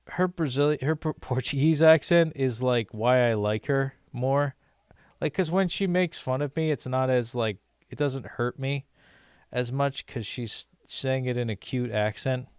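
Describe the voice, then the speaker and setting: A man's voice: medium pitch, clean tone, no room sound, clean studio sound. A young American man rambling casually to his viewers, with many restarts and fillers. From United States. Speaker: language-learning vlogger.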